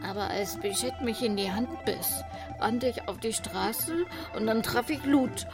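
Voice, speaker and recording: A woman's voice: a little high, a little slow. An actress playing an agitated young woman pleading her innocence in a radio play. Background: music.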